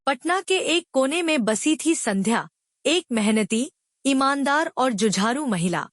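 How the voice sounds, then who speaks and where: Lively high-voiced woman storyteller. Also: unhurried. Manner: expressive storytelling. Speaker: narrator. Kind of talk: scripted storybook narration.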